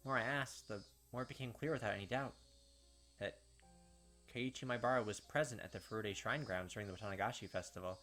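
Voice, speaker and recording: A man's voice: fairly low pitch, fast talker, a bit bright, soft background music. A man reading visual novel text aloud as a let's-play host, dropping casual, slangy asides along the way.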